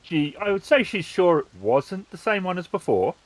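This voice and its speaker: A man's voice: high voice, very animated, normal speed, no room sound. A man acting as game master, improvising casual answers and narration for the players.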